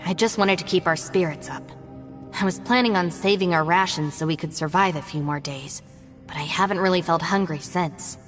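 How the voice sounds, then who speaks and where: A woman's voice: lowish voice, moderate pace, in a large hall, faint music behind, natural voice. A woman voicing an earnest, cautious young woman adventurer in a video game who speaks formally and carefully.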